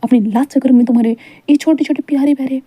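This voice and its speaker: Casting note: jealous, bossy young man, read by a woman, sharp and scolding, brisk, husky. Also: sits high, steady tone, dry recording.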